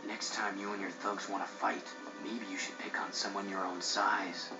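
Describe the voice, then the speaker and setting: A man voicing a gruff, defiant young hero racer who speaks tersely in a video game cutscene. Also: lowish voice, flat delivery, normal speed, lightly acted. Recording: dull game audio, loud music behind.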